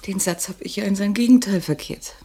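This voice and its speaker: Husky, hushed, wounded ex-lover. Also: female voice, medium pitch, fairly quick. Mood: wry, probing. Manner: hushed, intimate, probing. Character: guarded, wounded young woman, the hero's former lover. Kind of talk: German radio crime drama dialogue.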